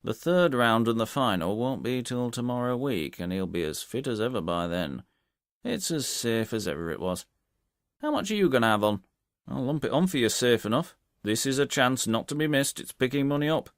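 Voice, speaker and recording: Brash British gambling publican performed by a male reader. Raised, emphatic, creaky, colloquial, wily storybook rogue. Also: mid-range voice, animated, normal speed, no echo, clean studio sound, voice pushed up, lightly acted. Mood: eager, scheming. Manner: colloquial, dropped aitches, hearty.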